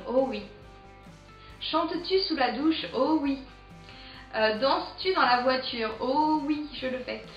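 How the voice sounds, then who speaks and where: Young French woman, casual vlogger, halting and chatty; muffled, lo-fi take with faint music behind. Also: fairly high pitch, a bit bright. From France. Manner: chatty, many hesitant "euh" fillers. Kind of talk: casual Q&A tag video. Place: home in England. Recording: roomy, distant, dull sound with music.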